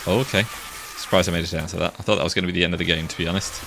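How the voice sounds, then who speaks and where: Young guy streaming a game, deep creaky voice, relaxed, loose with swearing, game noise underneath. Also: very fast. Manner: laid-back, swears casually, chuckles. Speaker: VTuber streamer. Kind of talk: casual gameplay chat with chat. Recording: game sound noise behind voice.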